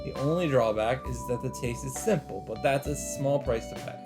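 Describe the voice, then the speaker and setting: Male let's play host, mid-range, casual and mock-dramatic narration, clear game music underneath. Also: medium pitch, moderate pace. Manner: mock-dramatic, interjects swearing and side remarks. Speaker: let's play host reading a visual novel. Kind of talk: reading game narration aloud with casual commentary. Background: visual novel background music.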